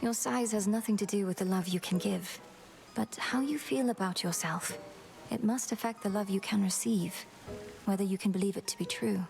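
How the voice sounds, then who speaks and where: A woman's voice: mid-range voice, normal speed, vocal fry, darkish tone, natural voice. A woman playing a determined, earnest young witch princess in a video game, speaking formally. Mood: tender. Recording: music behind the voice.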